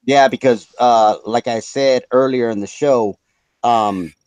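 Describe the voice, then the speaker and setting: Slightly raspy, rambling man. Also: mid-range voice, a little slow, a little mellow, dry recording. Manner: rambling, full of "you know". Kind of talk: casual chat on a live show.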